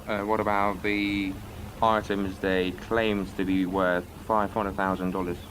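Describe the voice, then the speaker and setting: A man playing a lawyer in a roleplay courtroom, questioning a witness in a formal, measured way. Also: low voice, flat delivery, background noise.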